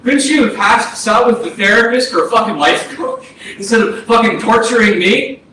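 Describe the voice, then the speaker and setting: A man's voice: extremely high pitch, moderate pace. Echoey, sardonic young man acted on stage. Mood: bitter, exasperated. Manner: sardonic, slangy. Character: dead brother Jordan, sardonic, foul-mouthed, slangy young man. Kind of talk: staged play reading, theatrical dialogue. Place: small arts-centre stage, distant mic. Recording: roomy echo, distant mic, noisy audio.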